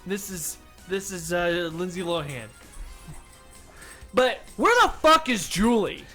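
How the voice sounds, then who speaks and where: A man co-hosting a radio comedy show, telling stories in casual banter and swearing freely as he gets animated. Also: high-pitched, takes it slowly, soft background music. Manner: profane, animated storytelling. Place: radio studio.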